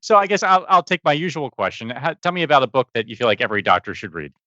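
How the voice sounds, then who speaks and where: A man co-hosting a podcast, reading a guest's introduction in a brisk, upbeat way. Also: medium pitch, big pitch swings, bright, forceful tone, dry recording. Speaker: podcast co-host. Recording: slightly dull audio.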